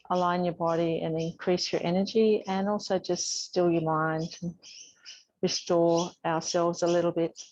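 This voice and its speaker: Low-voiced woman guiding yoga, muffled sound. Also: a little mellow, no room sound. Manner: soothing, unhurried guidance with pauses. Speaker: yoga teacher. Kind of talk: guided yoga class instruction.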